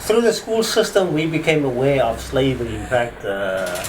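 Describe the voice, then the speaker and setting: Man in his fifties, South African accent, average pitch, easygoing rambling speech, faint background hum. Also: very animated, moderate pace. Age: 50s. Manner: conversational, rambling, full of fillers like "sort of". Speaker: interviewee, attorney. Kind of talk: casual oral-history interview.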